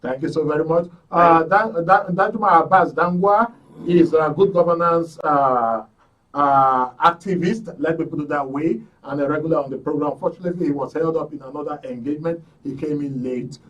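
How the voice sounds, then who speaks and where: A man with a Nigerian accent hosting a live radio talk show, speaking effusively in a halting, repetitive way. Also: on the high side, fairly bright tone, distant mic, dull audio. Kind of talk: live broadcast sign-off, thanking guests.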